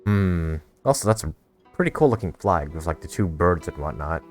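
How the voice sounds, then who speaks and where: A man with a deep voice reacts casually to a game as he plays. He thinks aloud in a hesitant, puzzled way, with faint music in the background. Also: lively intonation, moderate pace. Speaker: gamer reacting to a game story. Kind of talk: casual playthrough commentary.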